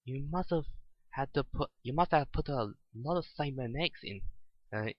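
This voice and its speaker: A man voicing a small, timid lackey nephew in a dramatic story reading, speaking in a hesitant, halting way. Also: mid-range voice, animated, dry recording, muffled recording, lightly acted. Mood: curious.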